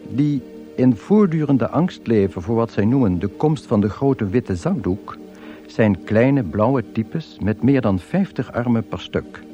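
An actor playing a wry narrator of an absurd science-fiction radio comedy, telling it in a measured deadpan. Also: male voice, lowish voice. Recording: radio play with music behind.

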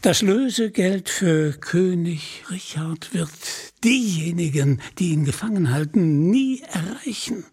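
A man playing a slow, smug, scheming sheriff. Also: high voice, expressive, breathy edge, exaggerated voice. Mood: sly, calm. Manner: quiet, unhurried, sly. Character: scheming, smug, calm Sheriff villain, whispering. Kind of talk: storybook character acting.

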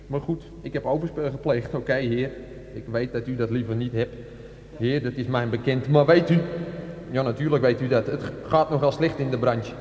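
A man's voice: mid-range voice, moderate pace, darkish tone, natural voice. Man playing echoey, pleading older used-car dealer. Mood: chatty, pleading. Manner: salesman patter with colloquial asides. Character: chatty middle-class used-car dealer, repentant sinner, self-excusing. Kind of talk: comic radio play prayer monologue. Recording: echoey hall with long reverb.

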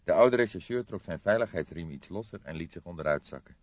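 A man's voice: low-pitched, lively intonation, quick pace, a little mellow, no echo, lo-fi sound. A man reading scripted detective-story narration as an audiobook narrator.

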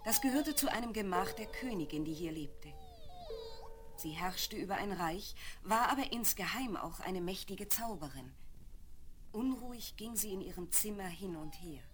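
A woman narrating a children's fairy tale from a script in a measured storytelling manner. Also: medium pitch, lively intonation, soft background music. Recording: noisy 1970s vinyl record.

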